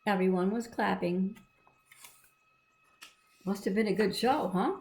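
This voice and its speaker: A woman's voice: mid-range voice, a little slow, darkish tone. A woman reading and explaining a storybook to children in a simple, encouraging, teacherly manner. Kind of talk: read-aloud with explanatory asides to children.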